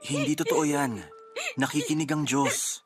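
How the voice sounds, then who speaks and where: An actor playing a patient, devoted young husband, speaking earnestly and reassuringly in a radio drama. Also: male voice, on the high side, steady tone, a little slow, faint music behind, close to an everyday voice. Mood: consoling. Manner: earnest, consoling. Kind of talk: Tagalog radio drama acting.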